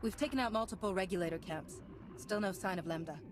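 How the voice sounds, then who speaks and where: An actress playing a stern, principled Jedi knight in a video game, speaking in a measured, earnest and commanding way. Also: female voice, mid-range voice, a little hiss or hum, lightly acted. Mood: businesslike.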